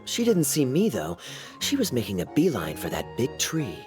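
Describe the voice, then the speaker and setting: An actor playing a suave, teasing young knight in an anime-style video game, smooth and playful in his drawling delivery. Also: mid-range voice, wildly swooping pitch, normal speed, over music. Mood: wry, casual.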